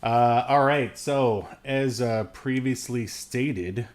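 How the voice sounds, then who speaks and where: A man in his late thirties with an average-pitched voice talks as a podcast co-host. He reviews films casually, wandering through halting sentences and trailing off into asides. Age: late 30s. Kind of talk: casual film review chat.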